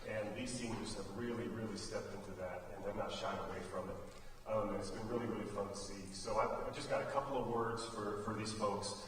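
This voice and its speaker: American man, informal director's speech, folksy halting delivery, heavy echo, faint background noise. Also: fairly low pitch, steady tone, fairly bright tone. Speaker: theater director giving tributes to students. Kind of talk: informal speech honoring senior students. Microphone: distant microphone. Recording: very echoey hall, distant mic, faint noise.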